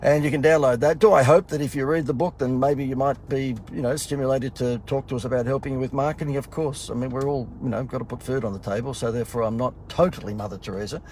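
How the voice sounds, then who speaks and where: A man, a marketing consultant chatting casually and jokingly in a promo vlog, a little husky from talking too much. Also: mid-range voice, steady tone, fast, hardly any pauses, a little mellow, a little hiss or hum. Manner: rambling, joking, many fillers and restarts. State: slightly husky from talking a lot. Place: in a car.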